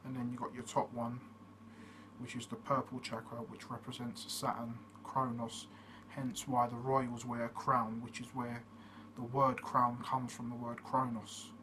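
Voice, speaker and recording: Flat-voiced man, noisy recording. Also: mid-range voice, a little slow. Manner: steady, plainly explaining, with frequent fillers. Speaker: channel host. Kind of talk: casual explanatory monologue. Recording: noticeable background noise.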